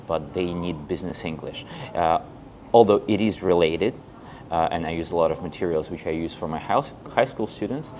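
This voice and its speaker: A man's voice: sits low, a little hiss or hum. A man in his thirties from Belarus, an English teacher telling his story in a casual interview, recorded on a lapel mic. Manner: chatty storyteller, acts out quoted dialogue. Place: outdoors on a paved old street and in a cafe.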